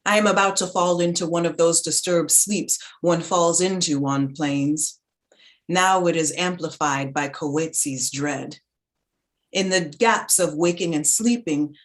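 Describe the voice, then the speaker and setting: A woman giving a dramatic reading of a literary essay in a measured, reflective, articulate manner. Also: lowish voice. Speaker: reader in a staged reading.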